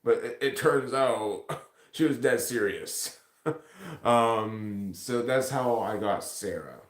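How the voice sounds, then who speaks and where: A man's voice: mid-range voice, slow. A man chatting casually on a livestream, rambling and swearing heavily as he replies to comments. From Ontario, Canada. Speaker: live streamer. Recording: echoey room sound.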